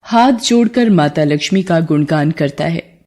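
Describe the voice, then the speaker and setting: A woman's voice: sits low, lively intonation, normal speed, darkish tone. A woman storyteller reading scripted narration for a devotional moral story.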